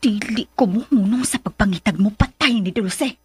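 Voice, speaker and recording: A woman's voice: medium pitch, normal speed, heavy background noise, lightly acted. A woman playing a theatrical, volatile, vindictive schemer in a radio drama. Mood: furious, venomous. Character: scheming, vindictive, pregnant woman villain demanding marriage.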